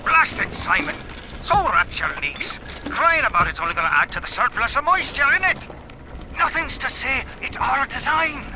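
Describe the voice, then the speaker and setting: Drunken British ranter, animated. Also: male voice, very high voice, moderate pace, background noise. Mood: scornful, ranting. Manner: ranting, sardonic, slangy. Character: drunken, bitter, foul-mouthed brothel-keeper and former architect. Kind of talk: video game audio diary monologue.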